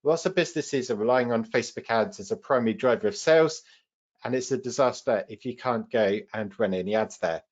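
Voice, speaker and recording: A man's voice: mid-range voice, fairly quick. A man with a British accent hosts a live online training session, explaining things in an informal, practical way as the trainer. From United Kingdom. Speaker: webinar host and trainer. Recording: slightly dull webinar audio.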